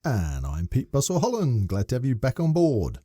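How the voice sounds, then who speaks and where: Man co-hosting a podcast, lively pitch, mellow tone, dry close sound, casual playful chat. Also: moderate pace, no room sound. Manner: animated, playful, reads synopsis aloud. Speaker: podcast co-host.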